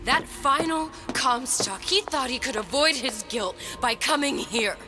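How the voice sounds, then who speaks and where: A woman's voice: high-pitched, lively intonation, unhurried. A woman playing a troubled, determined young heroine in a video game, earnest, hesitant and introspective. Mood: anguished, regretful. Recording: music clearly behind the voice.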